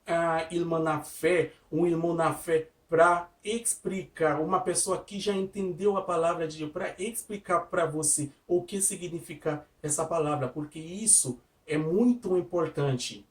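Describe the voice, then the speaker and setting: A man whose first language is not Portuguese gives an informal devotional sermon. He uses simple, repetitive phrasing, often restarts his sentences and speaks straight to the listener. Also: a little high. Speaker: lay preacher giving a devotional. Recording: echoey room from a distance.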